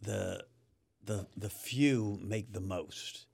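A low, gravelly male voice picked up dry and close. He co-hosts a casual podcast, breaking into the talk with short interjections and frequent restarts. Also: drawn-out words, a little mellow, dry recording. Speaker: podcast co-host.

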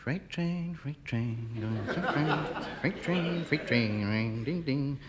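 A man's voice: medium pitch, animated, slow pace, a loud crowd. An actor playing a bored, restless man stuck at home on a Sunday, grumbling and humming in a 1950s radio sitcom. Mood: idly singing. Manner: grumbling, tuneless humming and singing. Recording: old radio broadcast with studio audience laughter.

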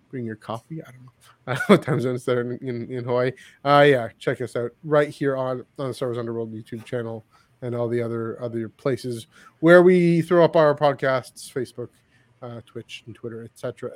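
A man hosting a podcast in casual chat, halting and often repeating his words and phrases. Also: mid-range voice, dry recording. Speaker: podcast host.

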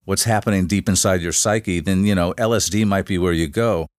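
A man with a very low voice talks as a guest on a podcast. He explains at length in a halting way, full of restarts and fillers. The sound is dry, with no room echo. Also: fairly even intonation. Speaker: guest, shamanic facilitator. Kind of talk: casual podcast interview.

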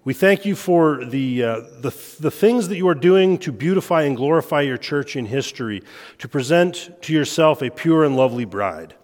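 A man with a head cold giving an informal lecture at a midweek church meeting, relaxed and given to self-deprecating jokes. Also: fairly high pitch, normal speed. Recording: long hall reverb.

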